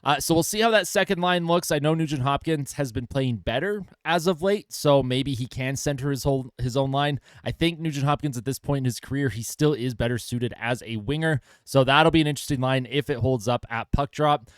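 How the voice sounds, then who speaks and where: A man's voice: medium pitch, a little fast, hardly any pauses, clear, resonant tone, dry recording, clean studio sound. A young man hosting a casual hockey pre-game commentary in a chatty way, with lots of filler words, while he keeps losing his voice. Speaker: hockey channel host.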